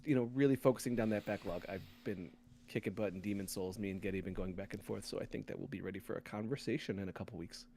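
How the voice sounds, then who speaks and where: A man's voice: fairly low pitch, creaky, a little mellow. A man hosting a gaming podcast, chatting casually. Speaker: podcast host.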